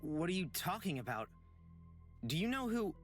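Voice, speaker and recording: Earnest, flustered, animated young man. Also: high voice, moderate pace, over music. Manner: hesitant, stammering. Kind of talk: visual novel voice acting.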